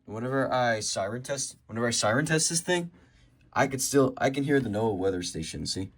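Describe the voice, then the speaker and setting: A young man with an American accent giving a casual show-and-tell, halting and rambling as he corrects himself. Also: medium pitch, expressive, background noise. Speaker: hobbyist showing a radio collection. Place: indoors at home by a desk.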